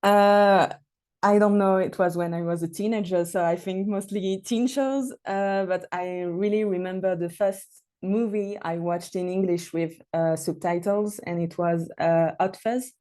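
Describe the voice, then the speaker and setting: Slow, mellow French-accented woman. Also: lowish voice, fairly even intonation, smooth. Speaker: panelist, beer writer. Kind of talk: casual personal account on a panel.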